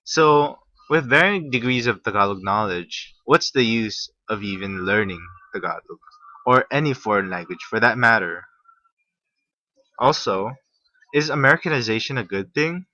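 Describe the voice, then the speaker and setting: A young man from Hawaii reading a class presentation, halting at times with restarts. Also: on the low side, lively intonation, bright, forceful tone, dry recording. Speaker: student presenter. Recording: muffled, slightly lo-fi.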